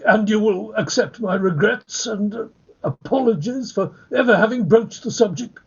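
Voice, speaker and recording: A man playing a formal older father, a courteous suitor in a Victorian farce radio play, husky and stiff. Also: sits high, normal speed, muffled recording. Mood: rejected, formal.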